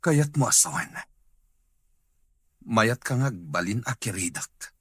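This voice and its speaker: Actor as a very husky, troubled Ilocano man. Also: lowish voice, animated, unhurried, no echo, studio quality. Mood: guilty. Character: troubled married man, guilty and hesitant. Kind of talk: Ilocano radio drama acting.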